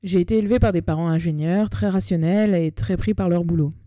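Female podcast host, 30, Parisian accent. Lowish, very mellow voice; chatty, colloquial, self-deprecating solo delivery. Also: brisk, dry recording, studio quality. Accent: Parisian French. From Paris, France. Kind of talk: personal monologue podcast, semi-scripted narration. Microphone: close podcast microphone.

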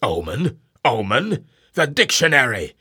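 A man voicing a grim, imperious old sea captain who swings from booming orders to hushed brooding. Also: big pitch swings, a little slow, slightly rough, dry recording, clean studio sound, exaggerated voice. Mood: defiant. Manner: stern, booming commands then hushed brooding.

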